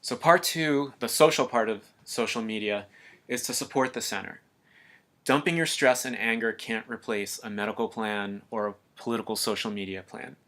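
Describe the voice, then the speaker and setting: A man giving a calm, earnest scripted voice-over narration for an explainer video. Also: on the low side, normal speed.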